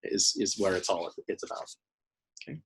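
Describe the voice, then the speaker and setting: A man giving an informal, halting and thoughtful explanation of archive items as an interviewed staff member. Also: low voice, expressive, slight background noise, low-quality recording.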